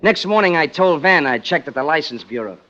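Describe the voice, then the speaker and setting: A man's voice: on the high side, animated, fairly quick, sharp tone. An actor playing a scheming, offhand tough guy in a 1940s radio crime drama, on a muffled old recording with music. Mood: cool, confiding. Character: scheming, coolly deceitful killer narrating, pretending to be loyal pal.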